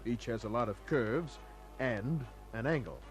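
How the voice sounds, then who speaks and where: An actor playing a cool, wary private detective in an old-time radio crime drama, speaking in a terse, wry, clipped way. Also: male voice, medium pitch, lively intonation, unhurried, natural voice. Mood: wry, narrating. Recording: old radio recording with faint music.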